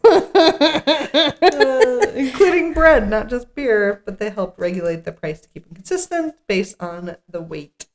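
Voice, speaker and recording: A woman's voice: on the high side, lively intonation, slow pace. A woman co-hosting a podcast, casually reading through a script, goofy and giggly, tripping over words and commenting as she goes. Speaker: podcast co-host. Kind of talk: scripted table read mixed with casual banter.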